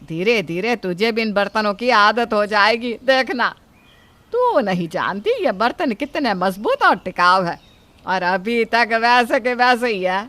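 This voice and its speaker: A woman's voice: a little high, lively intonation, unhurried, a bit bright, no room sound. A woman playing an older, thrifty mother-in-law, motherly yet scolding, with an emphatic theatrical delivery in a moral story. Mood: soothing. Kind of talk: acted dialogue in a Hindi moral story.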